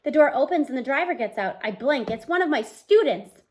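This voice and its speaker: A woman in her thirties reading a novel aloud in an expressive, storytelling style, acting out the dialogue. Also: high voice, fairly quick. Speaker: read-aloud book reader. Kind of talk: expressive children's audiobook-style read-aloud. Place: small room at home, indoors.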